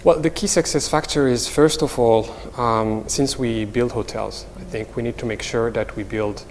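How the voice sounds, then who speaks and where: A man's voice: mid-range voice, fairly even intonation, normal speed, a little mellow, big-room sound. A middle-aged man with a Moroccan accent gives semi-formal interview answers, repeating words as he explains. His intonation stays fairly level, and his voice rings in a large room with faint background noise. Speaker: hotel company executive, interview guest.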